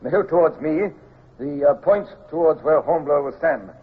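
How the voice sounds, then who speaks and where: An actor playing a stern but kindly British admiral, speaking in a clipped, formal English accent in a radio drama. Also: male voice, fairly high pitch, normal speed, slight background noise, lightly acted. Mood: formal, musing. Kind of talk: 1950s radio drama acting. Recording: tinny old radio recording.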